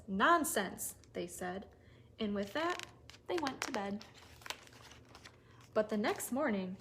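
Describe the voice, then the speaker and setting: A woman hosting a toddler story time, reading aloud with expressive, simple phrasing for small children. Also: mid-range voice. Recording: noisy recording with clicks.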